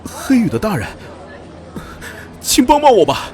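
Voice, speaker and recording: A man playing a frightened, earnest young porter who pleads desperately in an animated comic dub. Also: high-pitched, unhurried, soft background music, some chatter behind, exaggerated voice. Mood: pleading.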